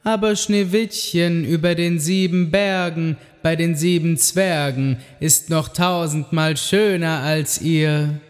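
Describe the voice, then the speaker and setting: An actor playing a solemn, truthful magic mirror, reciting in slow, formal phrases. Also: male voice, on the high side, fairly even intonation. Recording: long hall reverb, music underneath.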